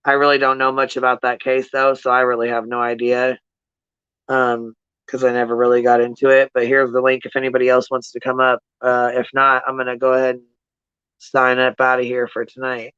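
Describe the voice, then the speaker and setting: A livestream host talks casually to viewers in blunt, slangy language full of swearing, circling back to hammer points home. The delivery is monotone and the voice is clean and smooth. The recording is dry and somewhat dull. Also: mid-range voice, a little fast, no room sound. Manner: blunt, profane, slangy, repeats points for emphasis. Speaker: livestream host giving commentary.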